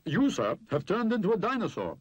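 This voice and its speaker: A man playing a brisk, know-it-all doctor with a didactic, pointed manner, in a 1960s cartoon information film. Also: sits high, huge pitch swings, very noisy. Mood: knowing diagnosis.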